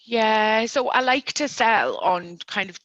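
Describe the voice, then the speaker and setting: A woman with a Geordie accent talks through her career as a podcast guest, friendly and unhurried with the odd "um". Her tone is bright and forceful, recorded dry and close to the mic. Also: fairly high pitch, no room sound, highs cut off. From Newcastle, England. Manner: friendly, explains steadily with fillers. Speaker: recruitment consultant guest. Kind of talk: casual podcast interview.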